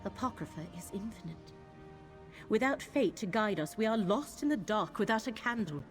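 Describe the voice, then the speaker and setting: An actress playing a grave, troubled woman mystic in a fantasy video game, speaking in a measured, formal way. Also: medium pitch, animated, faint music behind, natural voice. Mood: grave, urgent. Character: grave, troubled woman mystic serving an eldritch fate god.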